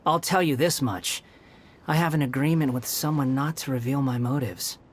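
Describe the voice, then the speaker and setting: A man's voice: medium pitch, moderate pace, a little hiss or hum, natural voice. Man playing a sardonic hat-wearing young man. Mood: taunting. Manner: mocking, dismissive, evasive. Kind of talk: video game cutscene dialogue.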